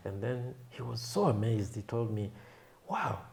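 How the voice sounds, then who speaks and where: A man in his thirties from Kenya, a Swahili native speaker, recalling his past in an unhurried, thoughtful way, with frequent pauses. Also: mid-range voice, big pitch swings, gravelly, darkish tone. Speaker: guest, film and creative artist. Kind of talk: reflective interview storytelling.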